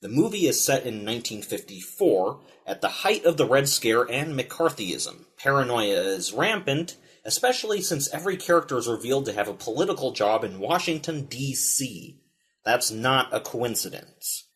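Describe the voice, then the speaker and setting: A man reads a scripted film review with a very lively, expressive pitch range. His mid-pitched voice carries the narration as the host of a video essay. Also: normal speed.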